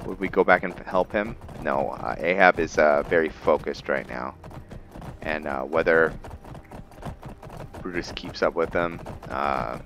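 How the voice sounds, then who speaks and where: A man with a deep voice hosts a roleplay playthrough of a game. He speaks slowly and deliberately, stopping often mid-thought as he comments. Faint game ambience sits behind his voice. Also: creaky, a bit bright, slight background noise. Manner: halting, deliberate, with long pauses. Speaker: gamer hosting a roleplay playthrough.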